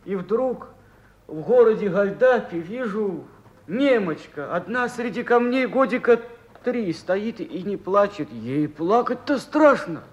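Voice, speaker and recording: Radio play role: pained soldier recalling war, high voice, animated swings, halting and weighty. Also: male voice, full character voice. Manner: halting, weighty, reflective storytelling. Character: embittered Soviet soldier, pained, remembering the war. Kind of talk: Russian radio play monologue.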